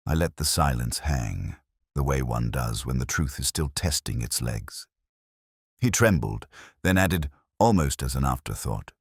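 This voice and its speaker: A man narrating as a brooding, reflective English detective, in measured, literary, melancholy first-person mystery storytelling. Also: rumbling low, no room sound, studio quality, exaggerated voice.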